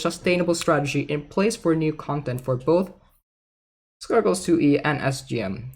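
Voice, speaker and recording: A man casually reading aloud and commenting in an informal, chatty way, like a gaming content creator. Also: fairly high pitch. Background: background music.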